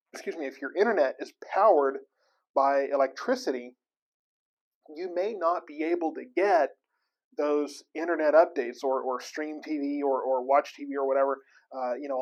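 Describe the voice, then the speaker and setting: A man with an American accent and a slightly high voice hosts an informal explainer. He talks in a folksy, conversational way, often halting and feeling for the next phrase as he goes. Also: dull audio. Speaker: host of weather tips segment. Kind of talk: casual explainer to camera.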